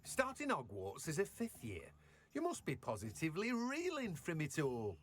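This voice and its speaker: A man's voice: a little high, huge pitch swings, normal speed, slight background noise. A man voicing a jovial, sociable plant seller in a video game, hearty and chatty, with a British accent. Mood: jovial, welcoming.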